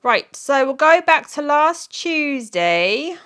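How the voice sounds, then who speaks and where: A middle-aged woman with a croaky, sore-throated voice, chatting casually to camera in a rambling, giggly, self-mocking way. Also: sits high, very animated, slow pace, a bit bright. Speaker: weight-loss vlogger.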